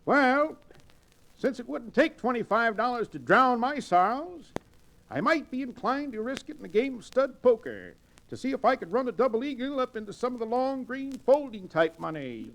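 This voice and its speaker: An actor playing a pompous, blustery, hard-drinking cowboy sidekick in florid words for an old Western radio drama. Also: male voice, extremely high pitch, very animated, moderate pace, creaky, a bit bright, full character voice. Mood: genial, boastful.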